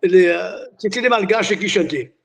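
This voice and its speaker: An older man born in Italy, Italian his first language, chats as an interview guest. His voice sits high, and he wanders through anecdotes with false starts and little "hein" tags. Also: normal speed, gravelly. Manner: chatty storyteller, many restarts and "hein". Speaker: singer and entertainer, guest. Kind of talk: casual interview chat.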